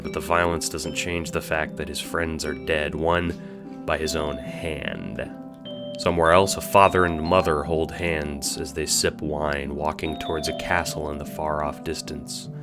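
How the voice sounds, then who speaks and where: A man's voice: a bass voice, exaggerated voice. A man playing a sly, theatrical puppet-master narrator who toys with listeners in an audio drama. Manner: teasing, mock-cheerful, swooping between hushes and exclamations. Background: music.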